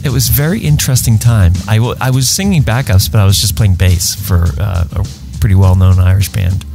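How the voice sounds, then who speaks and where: A middle-aged man co-hosting a podcast in an easygoing, casual chat, often laughing softly. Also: on the low side, fairly quick, creaky, over music. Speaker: podcast co-host.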